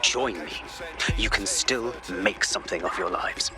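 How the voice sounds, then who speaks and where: An actor playing a calm, menacing counterterrorism director in a video game, speaking in a measured, oratorical way. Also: male voice, fairly low pitch, over music, lightly acted. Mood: calm persuasion.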